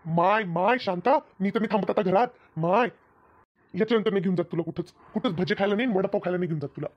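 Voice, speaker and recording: A woman's voice: medium pitch, fast talker, highs cut off, natural voice. A woman voicing an exasperated, nagging village husband in a comedy cartoon, with a rural Maharashtrian Marathi accent. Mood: scolding, appalled. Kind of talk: comic cartoon dialogue.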